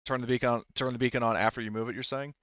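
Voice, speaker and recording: A man acting as game master, narrating and explaining a tabletop game in a measured, conversational way. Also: mid-range voice, fairly even intonation, fairly bright tone, clear, resonant tone, no echo, lo-fi sound.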